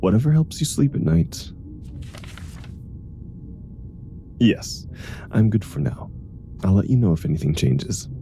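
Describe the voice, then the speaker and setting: A woman's voice: a bass voice, big pitch swings, normal speed, mellow, slight background noise, full character voice. A woman playing an exasperated, stubborn superhero in comedic audio roleplay, dry, grumbling and sarcastic. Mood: dry sarcasm. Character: exasperated, sardonic female superhero, stubborn hospital patient.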